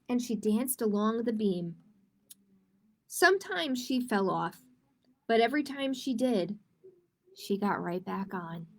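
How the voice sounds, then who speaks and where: A woman hosting a library storytime, reading picture books aloud to children and explaining simply and encouragingly. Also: on the high side.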